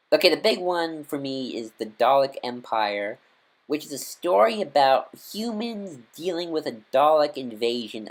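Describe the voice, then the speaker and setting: A man hosting a casual fan review video, talking in a rambling, eager way with many fillers and restarts. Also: mid-range voice. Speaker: video host.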